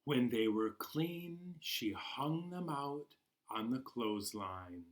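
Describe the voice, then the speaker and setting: A man hosting a storytime for children, reading aloud expressively in a deliberate, encouraging way. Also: medium pitch, animated. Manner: slow, deliberate storytelling with dramatic pauses. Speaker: library storytime host.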